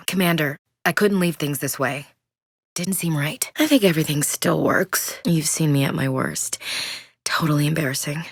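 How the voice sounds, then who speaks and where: A woman's voice: low-pitched, creaky, slightly rough, no echo, heavily acted. An actress voicing a tough, loyal woman soldier in a sci-fi video game, talking frankly and steadily in a military way. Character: tough female human soldier, blunt and loyal. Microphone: close studio mic. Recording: dry studio recording.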